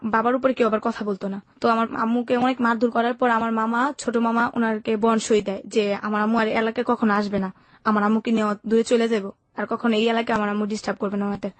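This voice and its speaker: Fast-talking Bangladeshi woman, higher voice. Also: little pitch movement, no room sound. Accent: Bangladeshi Bengali. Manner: colloquial, run-on narration. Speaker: guest telling her life story. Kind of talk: personal storytelling in a radio interview. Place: radio studio.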